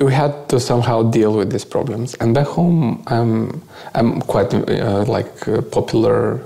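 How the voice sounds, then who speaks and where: A man with a low, gravelly voice and a mellow tone speaks with a Bulgarian accent. He is an interview guest telling of his experiences as a volunteer, haltingly searching for words in a second language. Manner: halting, searching for words in non-native English. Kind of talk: conversational live talk, recounting experiences.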